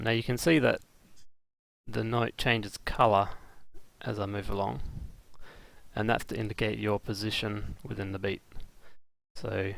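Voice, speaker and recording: A man giving a casual, unscripted software tutorial, thinking aloud in a halting, hesitant way with dry, self-deprecating humour. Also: on the low side, vocal fry, no room sound. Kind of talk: casual unscripted screen-recorded software demo.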